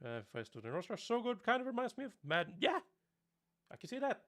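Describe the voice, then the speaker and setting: A man's voice: extremely high pitch, very animated, fast talker, fairly bright tone. A young man from Norway, a native Norwegian speaker talking in English, chatting casually on a live stream, rambling and laughing often.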